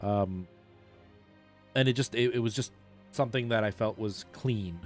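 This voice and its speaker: Guy streaming a game, laid back informal chat, kinda halting, thinks out loud, music in the background. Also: fairly low pitch. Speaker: live streamer. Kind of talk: casual chat while gaming. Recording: music playing behind the voice.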